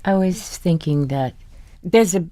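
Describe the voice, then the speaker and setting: Slow, grave, low-voiced woman. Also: animated, warm tone, no echo. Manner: grave, halting, deliberate. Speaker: actor. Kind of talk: reflective panel discussion.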